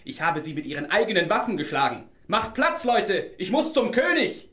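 An actor playing a bold, teasing, good-hearted young hero in a fairy-tale audio drama. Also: male voice, high-pitched, big pitch swings, moderate pace, sharp tone, exaggerated voice. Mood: triumphant.

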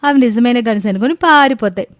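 A woman's voice: on the high side, fast, no echo, clean studio sound, natural voice. A woman voicing an eager, naive young daughter-in-law in a cartoon moral story, confident and respectful as she explains. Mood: eager explaining. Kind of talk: animated Telugu moral story dialogue.

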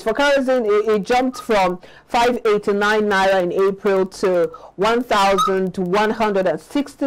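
A young Nigerian woman with a Nigerian accent, presenting business news in a studio in a measured way. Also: mid-range voice, poor audio.

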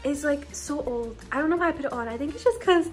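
Young woman vlogger, high bright voice, giggly and chatty, phone at arm's length, faint music under it. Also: fast. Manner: giggly, bubbly, lots of "like" and "you guys". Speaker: vlogger giving a house tour. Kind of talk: casual chatty vlog. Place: bedroom of a house, indoors. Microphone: phone held at arm's length.

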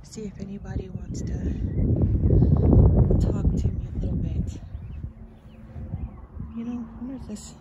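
A woman's voice: medium pitch, unhurried, pauses often, very noisy. A woman filming a casual walking vlog outdoors on her phone, chatty and hesitant, often trailing off and sometimes dropping to a near whisper. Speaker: vlogger. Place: outdoor cemetery on a sunny day.

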